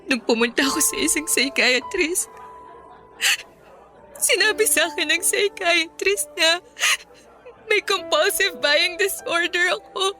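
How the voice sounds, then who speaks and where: A young Filipina girlfriend in a radio love drama, with a very high, piercingly bright voice. She is defensive and emotional, over faint background music. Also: measured pace, pauses often, heavily acted. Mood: tearful, ashamed.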